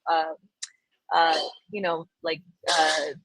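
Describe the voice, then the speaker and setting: A woman from Colombia with a lowish voice tells her story in a relaxed, chatty way. She sounds animated and laughs easily, with plenty of fillers along the way. Her voice is dry and close, with no room sound around it. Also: takes it slowly, dry recording, low-quality recording. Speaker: guest. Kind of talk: casual interview chat.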